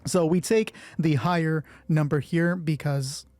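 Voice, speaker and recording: A man's voice: a little high, unhurried, a little mellow, no echo. A man hosting a solo tabletop roleplay, improvising narration and thinking aloud as he corrects himself. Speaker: solo roleplay host and game master.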